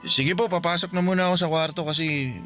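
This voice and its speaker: A man's voice: fairly high pitch, fast talker, fairly bright tone, faint music behind, natural voice. A man playing a devoted young adult son in a Filipino radio drama, speaking politely to his mother. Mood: evasive.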